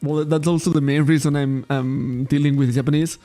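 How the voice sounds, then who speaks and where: Catalan-accented man, rather high and gravelly, mellow tone, loose rambling livestream chat, faint music underneath. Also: level tone, fairly quick. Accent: Catalan-accented English. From Spain. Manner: rambling, self-correcting, laughs often. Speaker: trading card shop streamer.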